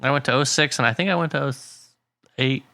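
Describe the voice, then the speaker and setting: A man's voice: medium pitch, fast talker, vocal fry, bright, forceful tone, dry recording. A man co-hosting a podcast who chats in an easygoing, joking way and trails off when he can't recall names. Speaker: podcast co-host. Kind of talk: casual chat.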